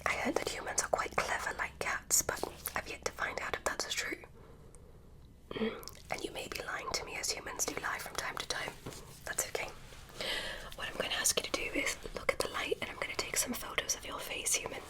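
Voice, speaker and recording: A woman's voice: natural voice, low-quality recording. A woman whispering as a curious alien doctor. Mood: curious, soothing. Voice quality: breathy whisper. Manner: soft, slow whispering with gentle instructions. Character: curious, polite female alien doctor examining a human. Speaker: ASMR creator. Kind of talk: ASMR medical roleplay. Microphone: close mic.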